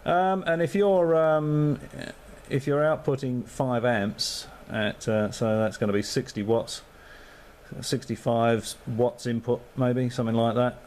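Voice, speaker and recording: A man's voice: medium pitch, unhurried, a little mellow, slight background noise. A man on amateur radio, chatting casually and thinking aloud with lots of fillers. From Australia.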